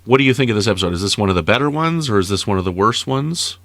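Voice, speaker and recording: A middle-aged man from Canada with a deep voice leads a casual review chat. He prompts with simple questions. The sound is dry and close, with hardly any room in it. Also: lively intonation, very fast, fairly bright tone, dry recording. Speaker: listener sending audio feedback.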